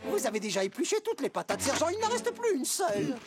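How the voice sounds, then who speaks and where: Whiny cartoon corporal, high voice, bouncy pitch, complains a lot. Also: male voice, sing-song, fast. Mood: grumbling. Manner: complaining, protesting. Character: grumbling, put-upon army corporal. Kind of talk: animated series dubbing.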